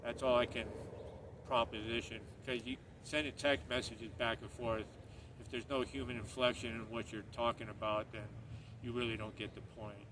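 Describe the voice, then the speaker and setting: A man's voice: mid-range voice, level tone, normal speed. A middle-aged man from Northern Ireland, hosting his own channel and talking to camera in an earnest, rambling way that circles back on itself. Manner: earnest, rambling, repeats himself. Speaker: channel host. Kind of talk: casual monologue to camera. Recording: distant mic, street noise.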